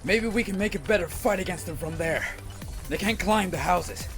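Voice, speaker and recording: A man's voice: high-pitched, moderate pace, music in the background, voice pushed up, close to an everyday voice. A man playing a battle-weary, determined ninja master with urgent delivery in a fantasy audio drama.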